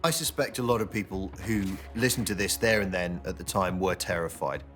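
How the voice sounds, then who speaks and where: A man's voice: sits low, fairly quick, fairly bright tone, faint music behind. A man commenting informally in a documentary interview.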